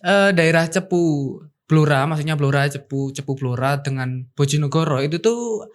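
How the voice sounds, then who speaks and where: Student co-host in their twenties, lilt, relaxed and rambling, thinks out loud. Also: medium pitch, normal speed. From Central Java, Indonesia. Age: 20s. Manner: chatty, thinks aloud with fillers. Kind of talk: casual chat.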